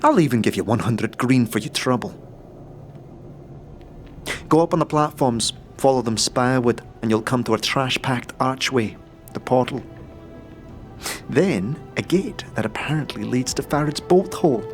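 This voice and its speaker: A man's voice: mid-range voice, moderate pace, long pauses, faint music behind, close to an everyday voice. A man voicing a sardonic, wily boss of slum corpse collectors in a fantasy audio drama, bargaining in thieves' cant. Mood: brisk, secretive.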